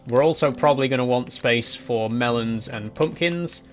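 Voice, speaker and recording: A man's voice: medium pitch, normal speed, soft background music. A man in his twenties streaming a building game, chatting casually and answering viewers' messages as he plays. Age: 20s. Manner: relaxed, rambling, answers chat messages. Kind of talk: casual live-stream chat while playing.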